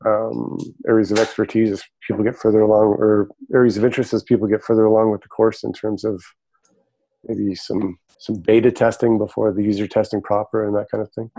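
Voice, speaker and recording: Male call participant; low, dry, monotone, measured and thoughtful. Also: no echo, poor audio. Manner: thoughtful, measured, hedging with ums. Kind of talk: casual group discussion.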